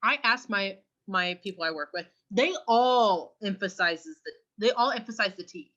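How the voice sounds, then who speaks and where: A young woman from California co-hosting a casual podcast, chatty and often clearing her throat. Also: medium pitch, expressive, normal speed, pushed and bright, highs cut off. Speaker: podcast co-host. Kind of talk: casual chat.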